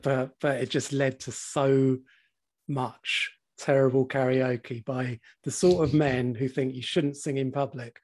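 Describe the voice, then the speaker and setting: A man in his forties with a slightly croaky voice, co-hosting a casual podcast chat, laughing often and swearing freely. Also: medium pitch, flat delivery, unhurried, a little mellow. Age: 40s. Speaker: podcast co-host.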